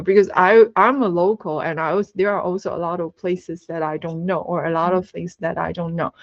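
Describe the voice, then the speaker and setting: A woman's voice: lowish voice, no room sound. Taiwanese-accented woman on a dull video call. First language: Taiwanese Hokkien. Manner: earnest, laughs often, frequent fillers. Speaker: webinar guest speaker. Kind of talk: presenting in a webinar.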